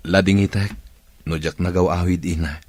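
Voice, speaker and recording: A man's voice: sits low, steady tone, normal speed, darkish tone, dry recording. A man with an Ilocano accent playing an earnest, devoted young suitor and dutiful son in a Filipino radio drama. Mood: worried.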